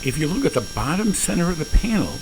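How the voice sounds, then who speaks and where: A man's voice: fairly high pitch, sing-song, fast talker. A man who is a private pilot, explaining his flight and making radio calls. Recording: cockpit and radio noise.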